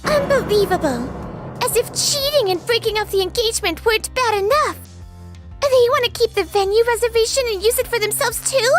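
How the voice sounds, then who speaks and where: A woman playing a loyal, excitable young office junior in a manga dub. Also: extremely high pitch, fairly quick, soft background music. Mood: outraged.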